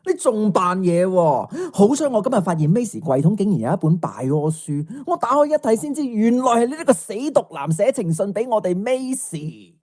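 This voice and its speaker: A woman's voice: mid-range voice, sing-song, moderate pace, few pauses, darkish tone. A woman playing a mocking, bossy teenage schoolgirl with a Hong Kong Cantonese accent in an audio drama. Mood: taunting. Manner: taunting, theatrical delivery.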